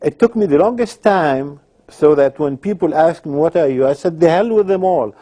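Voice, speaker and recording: A man's voice: on the high side, animated, normal speed, mellow. A man giving personal testimony in an emphatic manner. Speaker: interviewee telling his life story.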